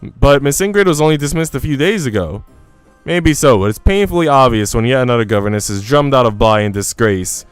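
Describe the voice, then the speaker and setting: A man's voice: medium pitch, animated, fairly quick, clear, resonant tone, no echo, soft background music. A man livestreaming a game, talking casually with informal slang and reading story text aloud. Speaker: game streamer.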